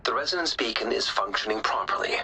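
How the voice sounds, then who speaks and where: An actor playing an analytical male researcher in a game cutscene, explaining things calmly. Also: fairly low pitch, fast, creaky. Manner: calm, explanatory. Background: game music.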